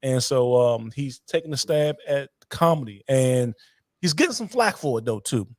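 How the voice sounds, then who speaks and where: A man co-hosting a podcast in casual chat, talking things through thoughtfully and clearing his throat now and then. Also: medium pitch, animated, moderate pace, no room sound, studio quality. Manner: thoughtful, many fillers and restarts, throat-clearing. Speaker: podcast co-host.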